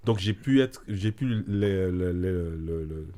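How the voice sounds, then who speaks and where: A man in his thirties from French Guiana, a theology student guest who speaks haltingly with many repetitions. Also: low-pitched, dark tone.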